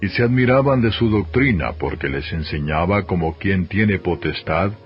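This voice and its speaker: A man with a Castilian Spanish accent reads scripture as a solemn, measured, formal narration. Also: low voice, animated. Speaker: Bible reader. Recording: dull lo-fi audio, music behind. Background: music.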